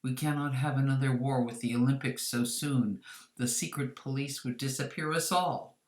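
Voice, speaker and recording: A woman voicing an elderly, courteous male crime boss with formal, deliberate speech, in a story reading. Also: rumbling low, fairly even intonation, roomy sound. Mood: careful, probing.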